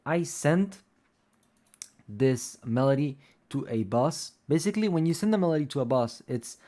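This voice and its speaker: A man's voice: a little high, lively intonation, normal speed, dark tone. Rambling young man, native Spanish speaker. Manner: rambling, loose, many fillers like "like" and "um". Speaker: music producer. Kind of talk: casual tutorial talk.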